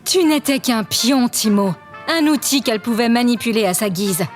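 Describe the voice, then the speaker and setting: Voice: mid-range voice, animated, normal speed, faint music behind, close to an everyday voice. An actress playing a cold, contemptuous analytical tormentor with a clinical, imperious way of talking in an audio drama. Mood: scornful. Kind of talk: scripted audio drama acting.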